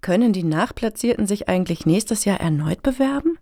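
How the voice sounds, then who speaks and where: An actress playing a naive, friendly meeting participant in a satirical radio play, with a mild, puzzled manner. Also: female voice, mid-range voice, expressive, fairly quick, dark tone.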